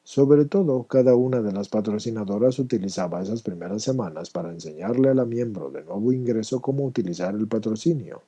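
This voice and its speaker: A man's voice: fairly low pitch, fairly quick, hardly any pauses, warm tone, slightly rough. A man reading a personal testimony aloud as scripted narration.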